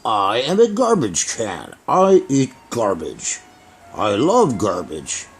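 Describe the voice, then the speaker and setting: Slow, greedy garbage can puppet played by a man. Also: fairly low pitch, wildly swooping pitch, slightly rough, voice pushed down, heavily acted. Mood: greedy, savoring. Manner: slow, repetitive, savoring delivery. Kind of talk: children's puppet show.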